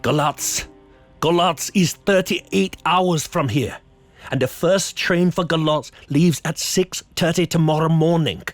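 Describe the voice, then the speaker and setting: An actor playing a grave, commanding older doctor and vampire hunter in a radio horror drama, with a slow, solemn delivery and a husky voice. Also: male voice, fairly high pitch, lightly acted. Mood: solemn, urgent. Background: soft background music.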